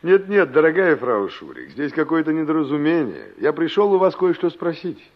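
An actor playing a wry, reflective young engineer in a radio play, speaking politely with a musing, ironic manner. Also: male voice, on the high side, very animated, normal speed. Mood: polite, casual. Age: young adult. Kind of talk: radio play acting with inner monologue. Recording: dull old radio drama recording.

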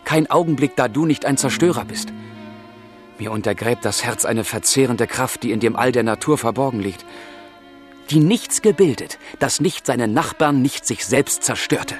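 A man's voice: medium pitch, lively intonation, normal speed, faint music behind, close to an everyday voice. An actor playing a passionate, brooding young man of the 1770s in a literary radio drama, with fervent, declamatory delivery. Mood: anguished, brooding. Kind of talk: literary radio drama, dialogue and letter monologue.

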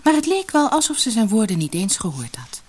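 A woman narrating a fairy tale for an audiobook in an expressive, dramatic, scripted storytelling style. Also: on the high side, fairly quick, noisy background.

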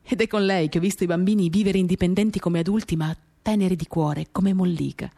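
A woman's voice: fairly low pitch, fairly even intonation, fast talker, creaky, dark tone. A woman reading a literary monologue for radio theatre in an intimate, dramatic, confiding way, in short phrases. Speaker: reader of a novel for radio theatre. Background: acoustic guitar music.

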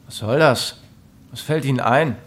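An actor in a radio drama playing an indignant, formal doctor, stern and officious. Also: male voice, mid-range voice, moderate pace, fairly bright tone, close to an everyday voice. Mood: alarmed, indignant.

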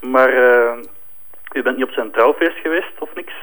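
A man playing a polite, plodding police investigator in a crime drama interrogation, speaking haltingly with a Flemish accent. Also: medium pitch, fairly bright tone, lightly acted, poor audio. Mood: accusing, halting.

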